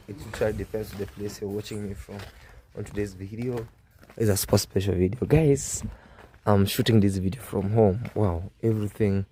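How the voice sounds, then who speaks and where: Soft-spoken young man, low mellow voice. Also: a little hiss or hum. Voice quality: hoarse. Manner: soft-spoken and mournful, with frequent fillers. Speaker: vlogger. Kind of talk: casual vlog to camera. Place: outdoors at a family home.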